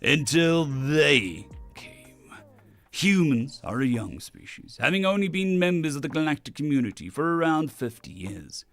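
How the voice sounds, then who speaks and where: A man's voice: fairly high pitch, big pitch swings, unhurried, a bit bright, no room sound, faint music behind, voice pushed up, heavily acted. A man voicing a proud alien drinking champion, narrating a story in a theatrical, boastful, melodramatic way. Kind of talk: dramatic first-person story narration.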